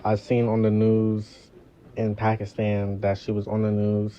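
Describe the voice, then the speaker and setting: Slow, flat young man on a dull recording. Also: on the low side. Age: young adult.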